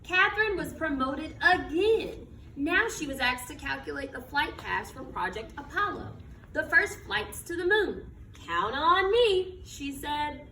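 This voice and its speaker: A high-voiced woman reads a children's story aloud in a very lively, expressive way. She phrases things dramatically and asks her listeners questions. The sound is roomy and distant from the mic, with faint noise. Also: a little slow. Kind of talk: expressive children's picture book read-aloud.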